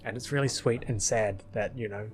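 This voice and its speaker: A man with a slightly deep voice records casual video commentary. He rambles in an offhand way, close to the mic, with music playing underneath. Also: moderate pace. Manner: rambling, chuckling asides. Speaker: video creator, film editor.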